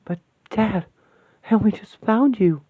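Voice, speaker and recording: A man's voice: high voice, unhurried, breathy edge, no room sound, voice pushed up. A man voicing a young woman who is hesitant and shaken, often stammering. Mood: tearful. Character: grieving young woman seeker, earnest and shaken. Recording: dry tinny phone-like sound.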